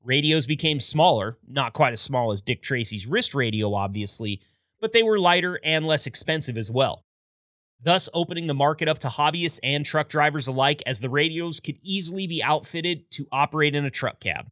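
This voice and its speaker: Male podcast host, scripted storyteller, crisp forceful tone, slightly high voice, close dry sound. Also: expressive, moderate pace, dry recording. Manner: upbeat, storytelling delivery with wry asides. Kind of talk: scripted narration.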